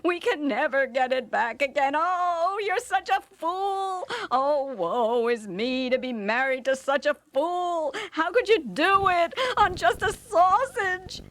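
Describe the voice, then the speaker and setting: A woman voicing a poor fisherman's wife in a storybook, with a high voice that keeps exclaiming and scolding. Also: expressive, takes it slowly, few pauses, a bit bright, no room sound, full character voice.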